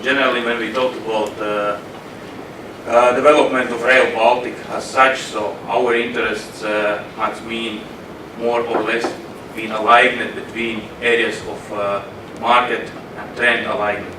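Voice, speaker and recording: A man's voice: mid-range voice, flat delivery, a little slow. A man in the audience at a panel asking a question, hesitant and searching for words, heard from across a conference hall. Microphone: room audio, away from the microphone. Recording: roomy and distant with background noise.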